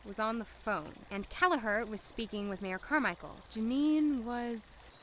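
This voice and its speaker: A woman playing an anxious, defiant doctor in an audio drama, speaking in a halting, on-edge way. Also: fairly high pitch, a bit bright, a little hiss or hum. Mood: uneasy. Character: anxious, defiant young female doctor, haunted and agitated.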